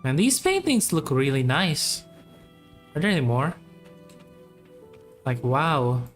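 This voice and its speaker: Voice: mid-range voice, sing-song, takes it slowly. A game streamer giving casual commentary in short, informal, musing remarks. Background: faint game music.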